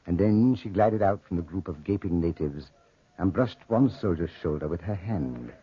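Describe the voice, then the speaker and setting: Deep, dramatic radio-play storyteller. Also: male voice, lively intonation, husky, no echo. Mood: wry, dramatic. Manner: theatrical, deliberate storyteller. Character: wry male narrator of a dark desert tale. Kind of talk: 1940s radio drama narration. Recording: old lo-fi radio recording.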